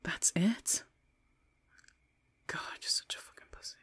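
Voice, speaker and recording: A woman acting a foul-mouthed young woman in an audio drama, speaking in a halting, informal way with breathy murmurs. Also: mid-range voice, fairly bright tone, dry recording. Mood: irritated, dismissive. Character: foul-mouthed young woman, casual with friends, pleading when threatened. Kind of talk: solo audio roleplay drama, one side of dialogue.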